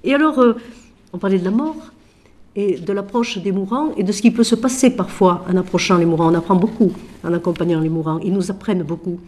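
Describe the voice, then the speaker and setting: A woman, a Franciscan sister and author, talks with feeling about her book, swinging from emphatic questions to quiet asides while she has a cold. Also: medium pitch, fairly quick. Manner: expressive, shifting from loud emphasis to quiet asides; asks rhetorical questions.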